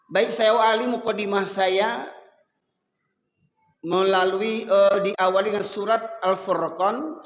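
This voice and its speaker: High-voiced Medan-accented preacher, echoey hall. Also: male voice. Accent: Medan Indonesian. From Sumatra, Indonesia. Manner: rises and falls, slows to chant Quran verses. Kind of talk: sermon.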